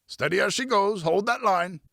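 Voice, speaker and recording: A bossy riverman playing ship's commander in a storybook tale, voiced by a male narrator. He barks nautical orders in a raised pitch, encouraging his young crew, recorded dry and close. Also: normal speed, gravelly, bright, forceful tone, dry recording, heavily acted. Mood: commanding.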